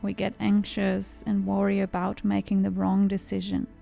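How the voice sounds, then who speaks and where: A woman narrating a guided meditation in a calm, soothing, measured way. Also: mid-range voice, creaky. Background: background music.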